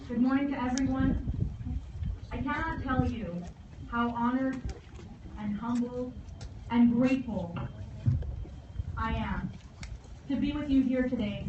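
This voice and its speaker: An American woman in her forties delivers a measured, solemn memorial address. Her voice is high and comes from far off with strong echo, as over an outdoor PA. The phrasing is formal and deliberate. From San Antonio, Texas, United States. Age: 40s. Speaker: guest speaker, veterans service officer. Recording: echoey outdoor PA from afar, dull, faint noise.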